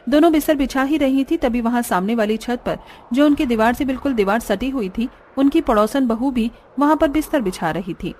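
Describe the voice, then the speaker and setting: A woman's voice: on the high side, brisk, a little mellow, a little hiss or hum. A woman reading scripted narration for a cartoon story.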